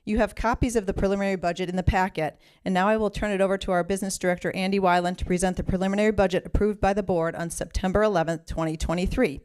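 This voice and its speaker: A woman, chairing a school board meeting and reading the formal procedural opening in a measured way. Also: medium pitch, steady tone, darkish tone, clean tone.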